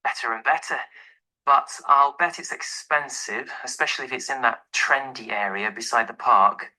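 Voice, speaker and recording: A man playing a flat hunter minding the cost, in a scripted listening-exercise dialogue. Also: medium pitch, normal speed, rough recording. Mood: interested, doubtful. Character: male flat hunter worried about cost.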